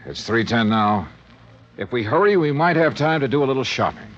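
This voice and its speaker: A man's voice: medium pitch, expressive, brisk, close to an everyday voice. An American man playing a shrewd, wry private investigator in clipped 1950s radio drama style. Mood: brisk, probing. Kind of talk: 1950s radio drama acting and first-person narration. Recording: dull vintage 1950s radio recording.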